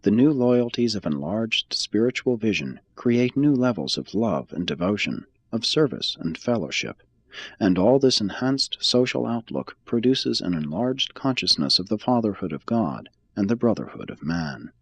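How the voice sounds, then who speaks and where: A man reading a religious text aloud as an audiobook, in a measured, solemn and formal manner. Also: lowish voice, big pitch swings, creaky, slightly rough, dry recording. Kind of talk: scripted read-aloud, audiobook narration.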